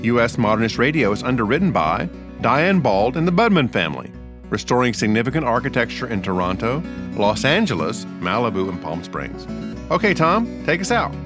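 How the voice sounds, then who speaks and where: A man hosting a radio interview show, asking questions in an unhurried, courteous way. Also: medium pitch, sing-song, over music.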